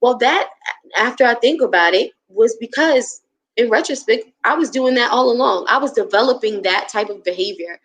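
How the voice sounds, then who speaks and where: A woman guest on a casual live stream, reflective and soft-spoken, speaking openly about herself. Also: on the high side, expressive, normal speed.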